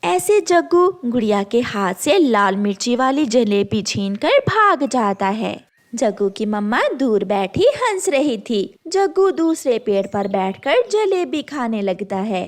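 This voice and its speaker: A woman's voice: a little high, very animated, slow. A woman reading scripted narration for a children's animal story.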